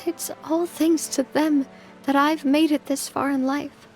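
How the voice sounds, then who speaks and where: A young female boss from a video game story, her voice high and her delivery slow. She is earnest and deliberate, kind yet resolute, and carries a wistful weariness. Music plays behind her. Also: fairly even intonation. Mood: vulnerable, tired. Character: kind, resolute young woman leading an organization, weary and wistful. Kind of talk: dramatic video game voice acting.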